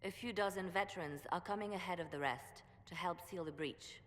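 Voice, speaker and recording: A woman's voice: lowish voice, fairly quick, a bit bright, lightly acted. A woman voicing a polished, shrewd female diplomat who speaks precisely and courteously in a video game. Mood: measured. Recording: game audio with long reverb.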